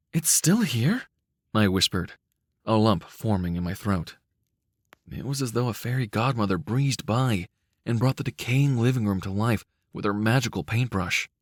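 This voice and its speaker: A man giving a measured, cinematic, dramatic narration of a dark short story. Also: lowish voice, dry recording, clean studio sound. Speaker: audiobook-style story narrator.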